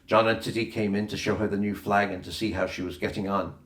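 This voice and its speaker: A man's voice: lowish voice, little pitch movement, fast, fairly bright tone. A man reading a children's adventure novel aloud as scripted narration, with a roomy echo on the recording. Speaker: audiobook reader.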